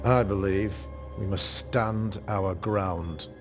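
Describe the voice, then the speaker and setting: A man playing a pious, grave colonial demonologist in a video game, speaking in a formal, measured, rhetorical way. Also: low voice, fairly even intonation, over music. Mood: solemn. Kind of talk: dramatic video game cutscene acting.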